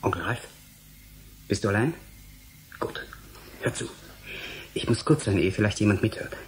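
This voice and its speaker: An actor playing a stubborn, idealistic and terse scientist in a German radio drama. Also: male voice, lowish voice, moderate pace, slight background noise, close to an everyday voice, rough recording. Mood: hushed, urgent.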